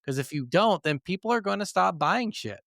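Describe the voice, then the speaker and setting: A man's voice: sits high, lively intonation, a little fast, gravelly, a bit bright, no echo, studio quality. A man co-hosting a gaming podcast, talking casually and bluntly with plenty of swearing. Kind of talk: casual opinionated podcast chat.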